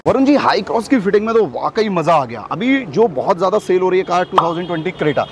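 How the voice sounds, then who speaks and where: A young man hosting a product video, talking in an energetic, salesy way on a lapel mic in a warehouse. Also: sits high, quick pace, a little hiss or hum. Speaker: host. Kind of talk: promotional product chat. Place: warehouse and outdoor lot.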